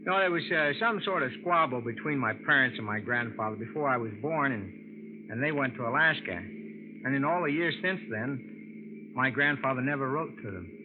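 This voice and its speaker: A man's voice: mid-range voice, lively intonation, normal speed, natural voice. A man playing a polite, naive young man who grew up far from cities, speaking in an earnest, hesitant way. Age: young adult. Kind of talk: 1940s radio drama acting. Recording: tinny old radio with hiss.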